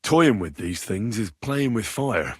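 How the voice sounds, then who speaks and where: Earnest young medieval game hero, lively delivery. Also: male voice, on the low side, unhurried, husky, no room sound, clean studio sound. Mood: serious. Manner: earnest, persuasive, direct. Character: earnest young medieval man, plain-spoken, persuasive, stubborn. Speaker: video game voice actor. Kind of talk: acted video game dialogue lines.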